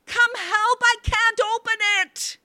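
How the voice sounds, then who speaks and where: Frantic elderly mother, shrieking high, imperious, hysterical with grief. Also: very animated, slow pace, pushed and bright, no room sound, clean studio sound, full character voice. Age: older. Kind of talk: dramatic storybook character acting.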